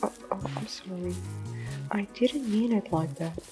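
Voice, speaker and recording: A woman's voice: mid-range voice, unhurried, natural voice. A woman playing an anxious, rambling nerd in an audio drama, stammering and apologetic. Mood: flustered, apologetic. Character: shy, anxious, rambling nerd, eager to please. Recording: music playing behind the voice.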